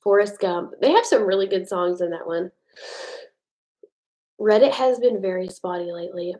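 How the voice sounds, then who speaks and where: An American woman in a relaxed late-night livestream, talking loosely with her viewers. She reads their comments aloud and pokes fun at herself in an easygoing, chatty way. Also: medium pitch, moderate pace. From United States. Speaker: livestream host. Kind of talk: casual live chat with viewers. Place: home at night.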